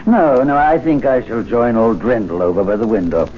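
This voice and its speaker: A man's voice: mid-range voice, sing-song, normal speed, slight background noise, close to an everyday voice. A man playing a scornful clubman with a wild swinging voice. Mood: mocking. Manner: mocking, rapturous, then menacing. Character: scornful gentleman club member turning violent. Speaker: club member. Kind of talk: 1970s radio drama acting. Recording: dull 1970s radio drama recording.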